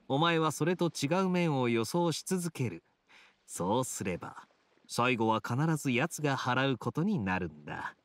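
An actor voicing a suave, teasing young knight in a video game, with a smooth, playful, unhurried delivery. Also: male voice, medium pitch, no room sound. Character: suave, teasing young male knight with sly gambler's wit. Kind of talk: video game dialogue acting.

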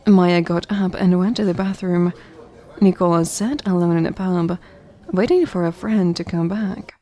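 A woman's voice: on the low side, normal speed, warm tone. A woman narrating a scripted story for an audio drama.

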